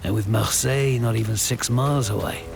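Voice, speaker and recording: An actor playing a gritty wartime operative, muttering tersely and wryly to himself in a gravelly voice. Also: male voice, medium pitch, brisk, over music, natural voice. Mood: pensive, determined. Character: gritty, determined British special operative in wartime.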